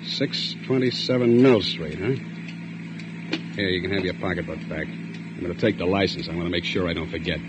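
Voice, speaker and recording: An actor playing a cold, sneering escaped convict bent on revenge, in a 1940s radio drama. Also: male voice, deep voice, brisk, natural voice. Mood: menacing. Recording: dull old radio recording with loud hiss.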